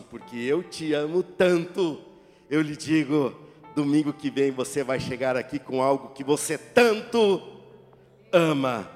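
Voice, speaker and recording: A middle-aged man preaching a sermon to his church, dramatic, rising to shouts at the peaks and joking with the congregation. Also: mid-range voice. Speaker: pastor. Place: church sanctuary. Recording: echoey church hall with music.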